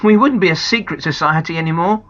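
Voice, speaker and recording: A woman's voice: on the low side, fast talker, fairly bright tone, lightly acted. A woman voicing a firm, emphatic young boy, in a slightly dull recording.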